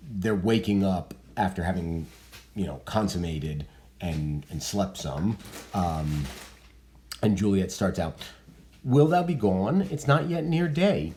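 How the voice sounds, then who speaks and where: A man teaching a literature lesson, explaining in a relaxed way with frequent ums and restarts. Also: sits low. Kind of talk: spoken literature lesson with commentary.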